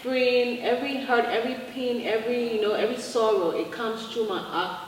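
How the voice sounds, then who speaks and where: A woman's voice: fairly high pitch, fairly even intonation, brisk. Female co-host, reflective; distant mic, long reverb, faint hiss. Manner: affirming, reflective. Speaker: talk show co-host. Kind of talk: talk show interview. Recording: echoey hall, mic far off, faint noise.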